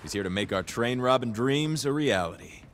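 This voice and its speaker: A man's voice: medium pitch, animated, moderate pace, slight background noise, lightly acted. A man playing a cocky, easygoing young crime boss in a video game cutscene, talking casually with wry, profane ease. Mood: casual, upbeat.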